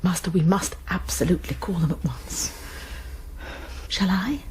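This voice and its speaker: A woman's voice: fairly low pitch, unhurried, darkish tone, a little hiss or hum, close to an everyday voice. An actress with an English accent playing a composed, caring college nurse who also narrates her story in a radio drama. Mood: hushed, grave. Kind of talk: radio drama acting and first-person narration.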